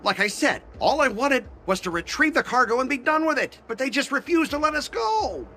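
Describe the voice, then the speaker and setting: An actor playing a pompous, blustering young corporate manager in a video game. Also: male voice, very high-pitched, big pitch swings, brisk, very noisy, exaggerated voice. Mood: defensive. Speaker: company manager.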